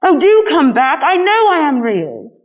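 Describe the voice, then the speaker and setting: A little storybook toy rabbit, played by a woman reader, pitched high. It is shy and wistful, with an earnest, protesting, plaintive way of speaking. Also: wildly swooping pitch, a little slow, heavily acted. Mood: plaintive pleading.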